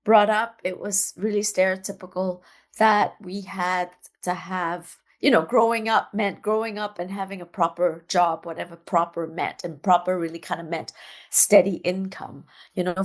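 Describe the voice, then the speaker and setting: A middle-aged woman from Singapore, a dance artist talking as an interview guest in a relaxed, chatty way, laughing often and restarting her sentences. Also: fairly low pitch, moderate pace. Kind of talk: casual interview chat.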